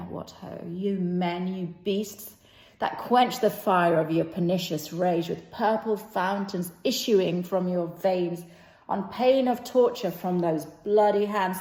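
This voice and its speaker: A woman playing a stern, commanding prince in an amateur stage play reading, declaiming in a halting way. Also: mid-range voice, dark tone. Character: stern, commanding prince rebuking brawling citizens. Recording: echoey room.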